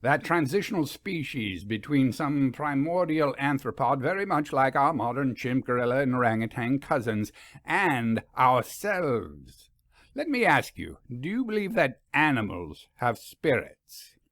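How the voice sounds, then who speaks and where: An eager English professor of strange creatures, acted out by a man reading a story. He is scholarly, frank and persuasive, with very animated intonation. The sound is dry and free of room echo. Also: mid-range voice, a little slow. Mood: intrigued, suggestive.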